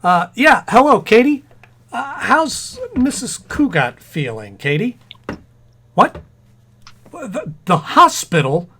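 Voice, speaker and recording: A man's voice: sits high, big pitch swings, slow pace, long pauses, bright, forceful tone, a little hiss or hum, exaggerated voice. Flustered, stammering radio-comedy husband, played by a man. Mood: alarmed, excited. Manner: stammering, frantic. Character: flustered, excitable husband expecting a baby. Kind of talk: old-time radio comedy acting.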